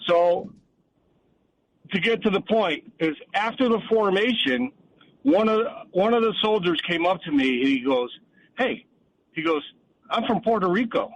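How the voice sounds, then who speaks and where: A man's voice: high-pitched, lively intonation, a little slow, pauses often. An older American man from Connecticut calling a radio show, telling a personal story in a hesitant, meandering way over a noisy phone line. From Connecticut, United States. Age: 50s or older. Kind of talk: casual rambling personal anecdote.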